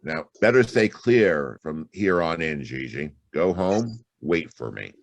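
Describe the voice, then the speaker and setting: An actor playing an impatient, sardonic private detective in a brusque, slangy 1940s radio drama style. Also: male voice, a bass voice, big pitch swings, a little slow, highs cut off, full character voice. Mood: brusque, commanding.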